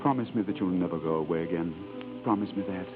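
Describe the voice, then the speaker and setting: A low-voiced, adoring husband played by a man in a vintage radio play, ardent and pleading with lively swings of tone. The sound is tinny, with loud music underneath. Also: fast, close to an everyday voice. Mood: tender, pleading. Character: adoring, pleading husband, a refined romantic lead. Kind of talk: 1930s radio acting.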